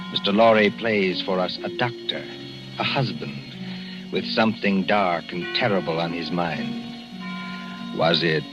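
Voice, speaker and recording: A man narrating a radio mystery with an ominous, measured, theatrical delivery, drawing out the suspense. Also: on the low side. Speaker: radio drama host and narrator. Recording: muffled 1940s radio broadcast with music behind.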